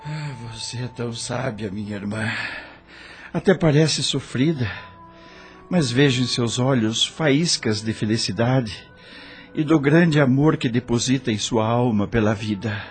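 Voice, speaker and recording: An actor with a Brazilian accent playing a dejected, lovelorn widowed brother in an emotional radio soap opera. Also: male voice, medium pitch, unhurried, close to an everyday voice. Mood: tender, admiring. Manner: emotional, theatrical delivery. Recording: music under the voice.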